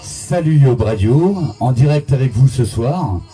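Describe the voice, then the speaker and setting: A man's voice: medium pitch, lively intonation, unhurried, warm tone, poor audio. A man hosting a live radio karaoke show, chatting to listeners and introducing their song requests. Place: radio studio. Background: karaoke music.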